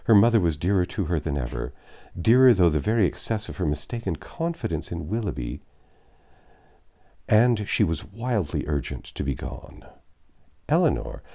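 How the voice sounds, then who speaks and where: A man narrating a classic novel aloud in an expressive, measured way with dramatic phrasing. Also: deep voice. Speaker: audiobook narrator. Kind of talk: expressive literary reading aloud.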